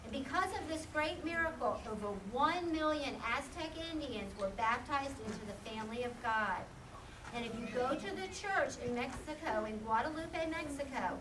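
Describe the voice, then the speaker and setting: A woman narrating and presenting a children's puppet show as a storyteller. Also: sits high. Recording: echoey, distant, noisy live recording.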